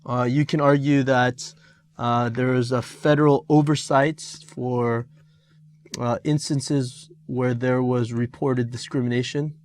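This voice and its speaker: A middle-aged man teaching an exam review lesson, talking with many pauses, fillers and restarts. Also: medium pitch, fairly even intonation, darkish tone. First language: Korean.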